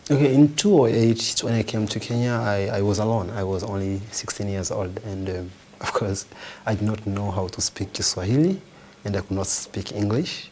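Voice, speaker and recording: A young man with a lowish voice, a French native speaker, answers an interviewer earnestly. He talks in halting bursts, restarting and searching for words. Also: darkish tone, a little hiss or hum. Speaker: refugee organization founder.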